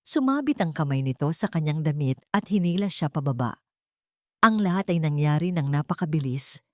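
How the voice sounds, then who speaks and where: A woman's voice: deep voice, animated, moderate pace, no room sound, studio quality. A woman reading a dramatic romance story as a scripted narrator.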